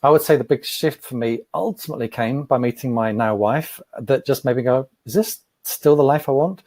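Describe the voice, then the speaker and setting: A man answering interview questions as an entrepreneur, thoughtful and measured, often repeating a word as he starts. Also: mid-range voice, a little mellow, dry recording. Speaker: podcast guest, entrepreneur.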